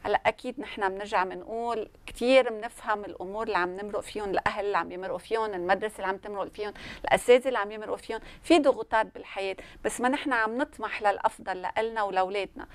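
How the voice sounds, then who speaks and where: A woman's voice: medium pitch, racing, no echo. A middle-aged woman with a Lebanese accent explaining things conversationally on a studio panel, slipping in French and English words. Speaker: studio guest on parenting and communication. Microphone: lapel mic.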